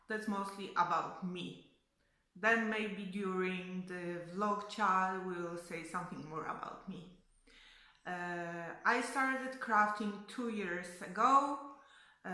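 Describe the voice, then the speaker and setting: A woman's voice: mid-range voice, pushed and bright. A woman in her thirties from Poland with a Polish accent, talking through a card-making project in her home craft room, with many hesitant fillers. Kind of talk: casual crafting tutorial. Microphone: fixed camera, seated facing it.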